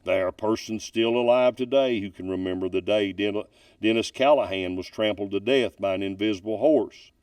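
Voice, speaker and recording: A man reading a ghost story aloud from a book as a storyteller, with deliberate, dramatic emphasis. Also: on the low side, a little mellow, no room sound, far from the mic. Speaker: narrator reading ghost stories.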